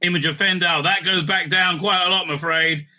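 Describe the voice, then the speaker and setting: A man hosting a casual, off-the-cuff ranking commentary, animated and thinking aloud with frequent asides. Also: high-pitched, a little fast. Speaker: host ranking TV serials.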